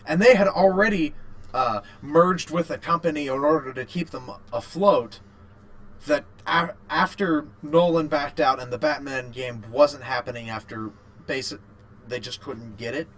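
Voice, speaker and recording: A man's voice: fairly high pitch, a bit bright, rough recording. Rambling man in casual podcast chat. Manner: rambling, repeats phrases, halting. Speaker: podcast co-host. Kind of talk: casual chat about video games.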